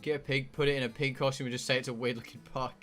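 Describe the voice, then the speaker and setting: Bright-voiced British man chatting on stream. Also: medium pitch, level tone, racing, soft background music. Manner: blunt, sweary, joking. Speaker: live streamer playing a visual novel. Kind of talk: casual rambling chat with viewers.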